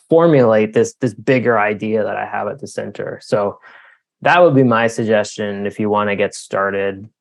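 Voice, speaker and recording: A man from Canada answering interview questions in a conversational, explanatory way, thinking aloud with lots of "you know" and "like". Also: on the low side. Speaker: author being interviewed.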